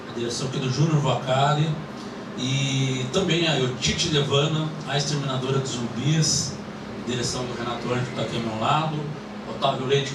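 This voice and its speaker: A man, hosting a panel talk at a small cinema club, rambling with many fillers. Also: mid-range voice. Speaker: host. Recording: echoey room, mic from far off, noisy.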